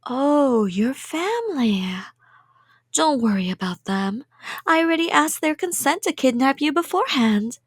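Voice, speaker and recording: High, sweet obsessive yandere girlfriend acted by a woman. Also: sing-song, unhurried, no echo, studio quality. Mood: cheerful, unrepentant. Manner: sweet, rambling, playful, drifts into whispers. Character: cheerful, obsessive yandere woman, a doting delusional kidnapper. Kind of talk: ASMR roleplay monologue.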